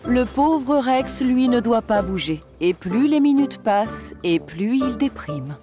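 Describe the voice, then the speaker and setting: A woman's voice: fairly high pitch, sing-song, slow. A woman reading scripted storybook narration for a children's cartoon. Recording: music behind the voice. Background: music.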